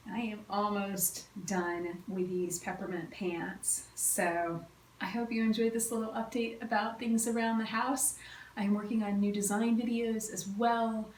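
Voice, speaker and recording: A woman's voice: mid-range voice, fairly even intonation, measured pace. A woman with a slightly stuffy, cold-affected voice, chatting informally and enthusiastically as a craft podcast host. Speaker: podcast host, quilting teacher. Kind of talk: casual solo chat, craft update. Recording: roomy echo, distant mic, faint noise.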